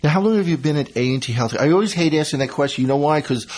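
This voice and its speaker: A man hosting a radio health talk show, speaking in a rambling, halting way with many fillers and restarts. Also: a little high, animated, a little mellow.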